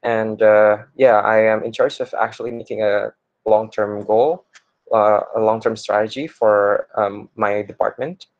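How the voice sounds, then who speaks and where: A young man presents himself as a student in a fairly low voice. His delivery is informal and hesitant, with breaks in the middle of phrases. The recording is somewhat muffled and dry, lacking detail. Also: steady tone, measured pace, dry recording. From Indonesia. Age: young adult. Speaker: computer science student presenting. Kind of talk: informal self-introduction in a class presentation.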